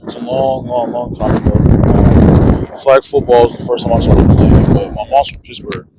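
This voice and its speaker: Laid-back young athlete, outdoor noise. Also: male voice, mid-range voice, normal speed. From Georgia, US. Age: young adult. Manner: relaxed, hesitant, lots of uh and well. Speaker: college football player interviewee. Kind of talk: casual interview answers. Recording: loud wind and vehicle noise.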